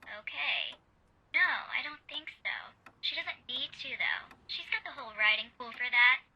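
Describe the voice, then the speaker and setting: An actress playing a guarded, loyal Hollywood assistant over a telephone in a radio drama, reluctant and wary. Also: female voice, sits high, animated, measured pace, bright, forceful tone, full character voice. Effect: telephone filter. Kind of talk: old-time radio drama acting. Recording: thin, noisy telephone line.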